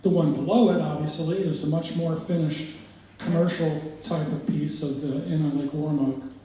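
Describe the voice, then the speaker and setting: An older man, the exhibiting artist, giving an informal, halting talk about his work with many pauses and restarts. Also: on the high side, steady tone, mellow. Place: art gallery, indoors. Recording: very echoey room, voice far from mic, faint noise.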